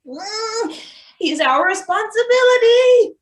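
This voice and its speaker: A woman's voice: very high-pitched, lively intonation, slow, full character voice. A woman playing a mild, caring maintenance worker in a live play reading, speaking in short, understated lines. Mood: concerned. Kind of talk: live table read of a satirical play. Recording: noisy, with clicks.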